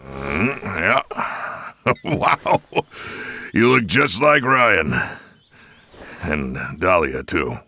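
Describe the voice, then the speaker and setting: A man's voice: deep voice, sing-song, sharp tone, full character voice. An actor playing a big, bearlike, jovial older male sports coach with a folksy manner in an audio drama. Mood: amused, curious. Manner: jovial, folksy, drawn-out interjections.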